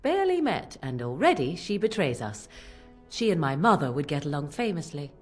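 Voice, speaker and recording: Sardonic fantasy game companion, lowish voice, animated intonation, dry, wry, music behind. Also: female voice, moderate pace. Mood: scornful.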